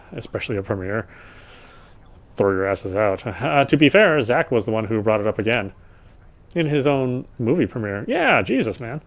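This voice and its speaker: A man doing a let's play, reading game text aloud and adding casual, sardonic commentary. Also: medium pitch. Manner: sardonic, informal. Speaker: let's player.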